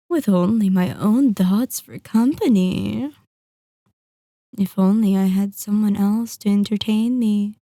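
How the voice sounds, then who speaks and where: A sadistic female vampire , acted by a woman, speaks in a dark, velvety voice at an extremely unhurried pace. The recording is dry and close, in ASMR roleplay style. She is seductive and possessive, condescending to her listener and savoring each phrase between pauses. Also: mid-range voice, no echo. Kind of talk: scripted ASMR roleplay monologue.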